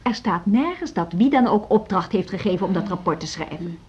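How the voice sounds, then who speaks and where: Militant female teacher, radio play, stubborn, curt, husky high voice, dull lo-fi recording. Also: lively intonation, fairly quick. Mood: defiant. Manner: curt, unyielding. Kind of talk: radio drama acting.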